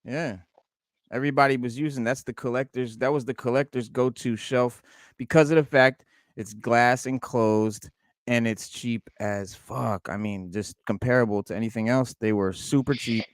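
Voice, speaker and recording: A man's voice: medium pitch, dry recording, studio quality. A man co-hosting a casual toy unboxing chat, rambling and profane, often restarting sentences. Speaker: podcast co-host.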